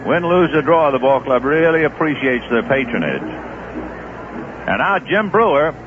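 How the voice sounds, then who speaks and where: A man's voice: on the high side, unhurried. Man calling baseball on 1970s radio. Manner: lively, rising with big plays. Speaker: play-by-play announcer. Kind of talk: live baseball radio commentary. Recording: tinny, noisy 1970s radio broadcast.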